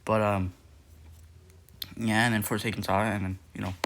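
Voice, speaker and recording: A teenage boy explains things for his channel in a loose, meandering way, trailing off and restarting often. His voice sits a little low for a young man. He sounds unscripted and easygoing. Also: little pitch movement, normal speed, fairly bright tone, slight background noise. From Nepal. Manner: rambling, hesitant, many fillers and restarts. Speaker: youtuber. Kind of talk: casual lore video narration.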